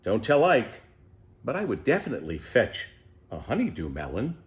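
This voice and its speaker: Man reading as a contented old storybook tortoise, very expressive. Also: on the low side, moderate pace. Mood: serene, savouring. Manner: savouring, leisurely, full of wonder. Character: contented, unhurried eighty-year-old pet tortoise, male, storybook narrator.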